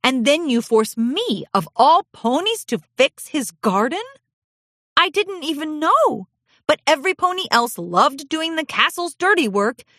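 A woman's voice: high-pitched, sing-song, measured pace, sharp tone, no room sound, clean studio sound, voice pushed up, exaggerated voice. A woman reading aloud voices a young, lonely filly pony who talks in an earnest, pleading, childlike way. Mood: aggrieved.

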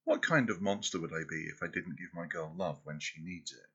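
Man, bright-edged husky voice, slow soft murmur, teasing, close to the mic. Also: deep voice, highs cut off. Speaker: audio roleplay performer. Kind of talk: intimate scripted audio roleplay.

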